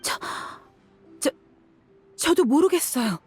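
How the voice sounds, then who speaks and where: A woman's voice: high-pitched, animated, takes it slowly, darkish tone, faint music behind. A woman voicing a frank young desert mercenary, tough but caring, with a casual manner in a dramatic game scene. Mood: baffled.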